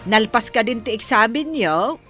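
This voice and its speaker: A woman's voice: a little high, lively intonation, moderate pace, music in the background, natural voice. An actress with a Filipino accent playing a concerned, chatty mother asking questions in a radio drama. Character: concerned mother on a phone call.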